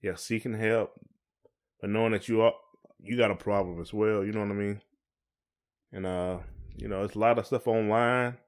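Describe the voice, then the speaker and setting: Male podcast host, American, lower-pitched voice, informal conversational delivery, colloquial phrasing. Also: steady tone, normal speed, pauses often. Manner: colloquial, often checks in with "you know". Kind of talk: casual conversational podcast talk.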